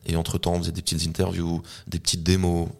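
A man's voice: sits low, completely flat delivery, quick pace, creaky, a little mellow. A man, a beatboxer, telling stories in a laid-back, slangy, casual chat. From France. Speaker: beatboxer guest.

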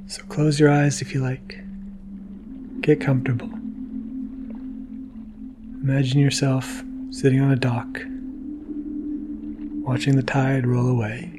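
Gentle man over faint ambient noise. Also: medium pitch, little pitch movement, takes it slowly, pauses often. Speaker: podcast host. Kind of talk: soothing sleep podcast narration.

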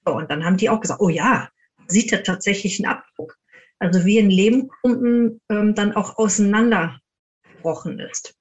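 A woman's voice: medium pitch, moderate pace. Woman telling anecdotes, slightly dull recording. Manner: acts out quoted dialogue. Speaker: guest, paranormal investigator. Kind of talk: casual anecdotal storytelling.

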